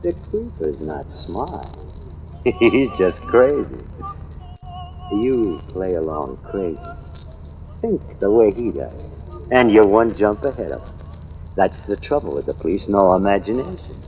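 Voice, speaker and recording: Low, cocky American newspaperman, tinny. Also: sing-song, unhurried, long pauses. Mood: cocky, insistent. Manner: knowing, insistent, slangy. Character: cocky, hard-drinking newspaperman theorizing about a killer. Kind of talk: old-time radio drama acting. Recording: tinny, noisy vintage radio recording.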